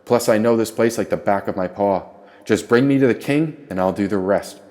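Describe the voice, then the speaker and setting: A man's voice: fairly low pitch, brisk, a little mellow, natural voice. A man voicing a wise, athletic opossum mentor in an audiobook, earnest and proclaiming, with grand philosophical turns. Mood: solemn, instructing.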